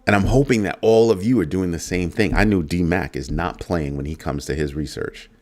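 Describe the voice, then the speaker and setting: A man with a very low, deep voice hosts a casual crypto livestream. He is middle-aged and talks loosely to his viewers, repeating phrases and tossing in playful asides. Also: fairly quick, darkish tone. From United States. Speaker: crypto show host.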